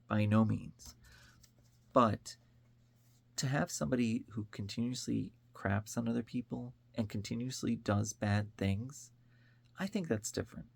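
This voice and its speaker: The American man you would find on a commentary vlog, earnestly talking through his opinions in a raspy voice full of fry. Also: fairly low pitch, dry recording. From upstate New York, United States. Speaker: online commentator. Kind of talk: informal opinion monologue.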